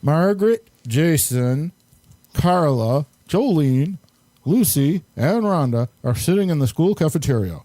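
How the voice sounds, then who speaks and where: Mellow male show host, halting delivery. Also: on the high side, lively intonation, no room sound. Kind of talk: casual chat, introductions.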